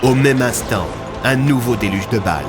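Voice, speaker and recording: A man's voice: medium pitch, normal speed, over music. A man narrating scripted audio fiction in a dramatic storytelling manner.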